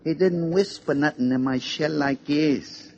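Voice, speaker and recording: An actor playing a teasing, streetwise lunch-wagon cook in a 1950s radio play, full of gruff, sardonic banter. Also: male voice, fairly high pitch, unhurried, darkish tone. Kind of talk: 1950s American radio acting. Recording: lo-fi old radio recording, slight noise.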